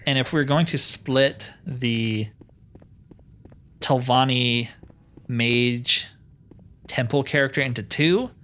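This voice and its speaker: A man's voice: mid-range voice, slow pace, long pauses, a bit bright. A man giving casual let's play commentary, musing and asking himself questions as he goes. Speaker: host of a gaming roleplay series. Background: music behind the voice.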